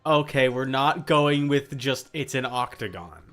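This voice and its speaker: A man's voice: medium pitch, expressive, measured pace, a bit bright, faint music behind. A man chatting casually on a game livestream, swearing and exasperated, prone to hyperbole. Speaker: streamer.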